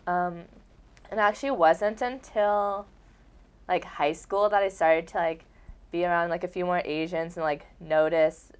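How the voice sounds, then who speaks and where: Smooth-voiced young woman chatting casually. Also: on the low side. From Oregon, United States. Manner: relaxed, rambling, many filler words. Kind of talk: casual conversation.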